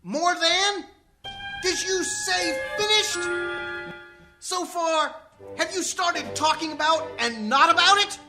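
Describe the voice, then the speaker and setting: A woman's voice: extremely high pitch, takes it slowly, music in the background, full character voice, low-quality recording. A woman playing a drunken, ranting college frat misfit in an amateur radio comedy. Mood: rousing, indignant. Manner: ranting, declamatory. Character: drunken, blustering male frat misfit rallying his friends.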